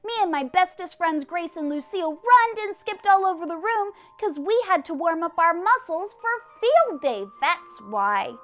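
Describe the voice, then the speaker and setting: An excitable, boastful little kindergarten girl, acted out by a woman reader, high and emphatic. She chatters in a childlike storybook voice over faint music. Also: normal speed, hardly any pauses, heavily acted. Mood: excited. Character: excitable, boastful kindergarten girl narrating her school day. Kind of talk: children's storybook character reading.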